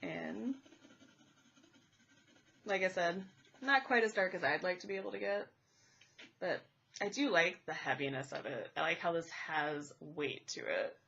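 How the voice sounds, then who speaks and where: Congested, slightly raspy woman chatting. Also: fairly low pitch, animated, a little slow, pauses often, pushed and bright, dull audio. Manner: chatty, thinking aloud while drawing. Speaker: art vlogger. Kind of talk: casual unboxing and art supply review. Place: home, indoors at a table. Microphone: camera overhead pointed at the paper. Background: furnace and cat noises.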